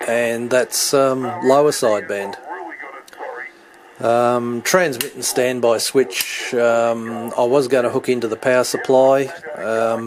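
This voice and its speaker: A middle-aged man giving an unscripted hobby demonstration, hesitant and halting as he searches for words. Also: medium pitch. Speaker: CB radio hobbyist demonstrating his equipment. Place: indoors at home beside radio equipment. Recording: clearly noisy home recording with radio static.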